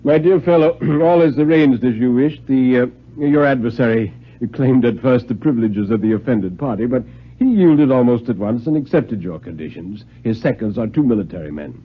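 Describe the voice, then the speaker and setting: A man voicing a brisk, formal, courteous colonel in a 1950s radio reading. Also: medium pitch, animated, breathy edge. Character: brisk, formal male colonel acting as duel second. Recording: muffled vintage radio recording, music.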